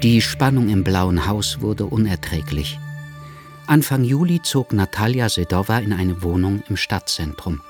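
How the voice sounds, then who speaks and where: A man narrates a radio drama from a script. His voice sits fairly low, and faint music plays underneath. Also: expressive, measured pace.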